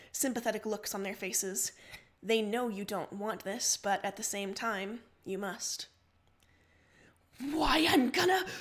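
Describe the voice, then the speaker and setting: Woman storyteller, higher register, expressive and theatrical delivery for dramatic prose narration. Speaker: livestream story reader.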